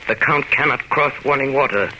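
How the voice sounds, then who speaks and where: An actor playing an earnest gentleman who reads out reports and journal entries in a vintage radio drama. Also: medium pitch, a little fast. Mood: grave, measured. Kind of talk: 1930s radio drama narration. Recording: noisy old radio broadcast.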